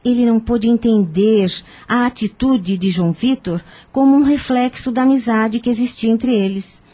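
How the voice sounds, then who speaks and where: A woman playing a calm, wise spiritist counsellor in a radio drama, with measured, gentle, didactic delivery. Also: on the high side, husky.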